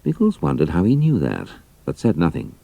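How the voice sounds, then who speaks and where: A man's voice: a bass voice, wildly swooping pitch, brisk, gravelly, dark tone, no echo. A man narrating a scripted audio drama as a storyteller.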